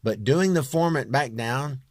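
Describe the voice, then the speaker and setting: A man with a low, near-bass voice talking through a home music production tutorial in a halting way, thinking aloud as he works. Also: lively intonation, no room sound, clean studio sound. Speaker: home musician demonstrating his vocal production. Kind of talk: informal screen tutorial.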